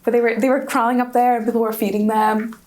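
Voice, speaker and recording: A young woman whose first language is Hungarian, a college student guest giving chatty, enthusiastic answers in a casual interview, often pausing and restarting her thoughts. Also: fairly high pitch, level tone, darkish tone. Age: young adult. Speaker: guest, study-abroad student.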